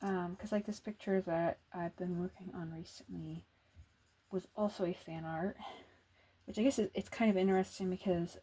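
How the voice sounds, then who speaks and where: A soft-spoken woman doing casual ASMR chat as an artist at work, talking in an unhurried, musing way. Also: fairly low pitch, steady tone, husky. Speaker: illustrator and comic artist narrating a drawing video.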